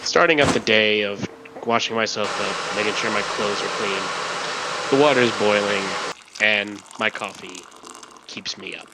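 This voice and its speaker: A man's voice: fairly low pitch, unhurried, very noisy. A man from Los Angeles narrating his own documentary in a candid, casual way, with loose asides. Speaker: documentary director and interviewer. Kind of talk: reflective voice-over narration.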